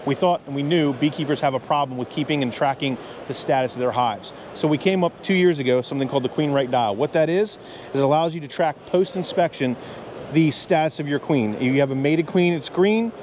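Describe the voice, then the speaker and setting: Man in his 40s, loud voice, energetic sales pitch, slightly high pitch, faint hall noise. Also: a little fast. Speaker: beekeeping vendor. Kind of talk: product pitch. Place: large convention hall booth. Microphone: clip-on mic.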